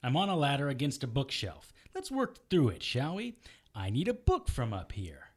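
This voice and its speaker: A wry, stubborn male detective in an audio drama. His mid-pitched voice moves around a lot, with a natural, defensive edge to the delivery. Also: huge pitch swings, normal speed.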